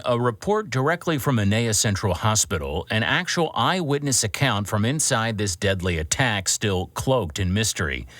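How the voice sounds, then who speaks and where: A man acting as a grave, authoritative news anchor reads a breaking bulletin. His medium-pitched voice is formal and dramatic, recorded very dry for an audio drama. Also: fairly bright tone, no echo, lightly acted.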